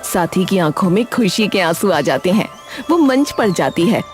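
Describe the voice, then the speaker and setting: A woman's voice: on the low side, animated, normal speed, faint music behind. A woman narrating a scripted cartoon story.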